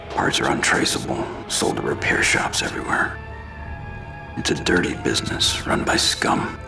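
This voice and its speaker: An actor playing a grim, weary, menacing male vigilante in a video game, terse and deadpan with a gravelly voice. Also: a bass voice, exaggerated voice. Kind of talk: hard-boiled narration and game acting.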